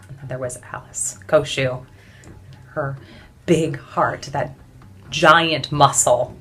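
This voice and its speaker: An actress playing a weary, defiant suffragette in an intense, deliberate theatrical monologue with heavy pauses. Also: female voice, extremely low pitch, steady tone, bright, forceful tone, full character voice. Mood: haunted, bitter. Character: weary, defiant suffragette woman recalling hunger and beatings.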